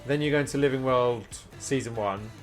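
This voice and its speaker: A man's voice: mid-range voice, fairly quick. Man thinking aloud on a game stream over music. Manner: thinking aloud, questioning, reading menu items out. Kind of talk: casual live-stream commentary while playing. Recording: music playing behind. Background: guitar music.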